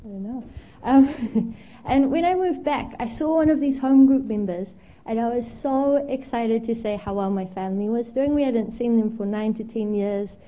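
Young woman preacher from Zimbabwe, warm mellow tone, slightly high, candid storyteller with lots of ums. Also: normal speed, highs cut off. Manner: candid, self-deprecating, frequent ums. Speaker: guest preacher. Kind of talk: storytelling sermon.

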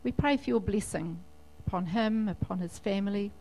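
Chatty New church service leader. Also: female voice, mid-range voice, normal speed, mellow, low-quality recording. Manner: friendly, chatty, leads a prayer. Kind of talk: leading a church service and interview.

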